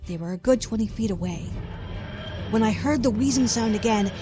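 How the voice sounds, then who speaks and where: A woman plays a flustered, sassy young companion telling an adventure in a radio play. Her voice is on the higher side, with casual, colloquial phrasing. Music runs clearly behind her. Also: brisk, mellow, lightly acted. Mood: dramatic narrating. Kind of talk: first-person audio drama narration.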